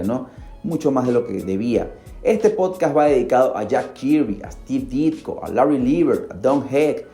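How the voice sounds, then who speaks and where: A man's voice: mid-range voice, dark tone, roomy sound. A Peruvian man hosting a podcast, narrating from a script with casual, conversational asides. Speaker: podcast host.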